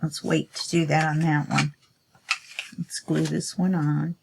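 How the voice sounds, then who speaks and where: Low gravelly woman, stuffy and chatty. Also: little pitch movement, unhurried, background noise. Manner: chatty, self-talking while working, sniffly. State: stuffy nose. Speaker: crafting channel host. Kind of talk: casual crafting tutorial talk.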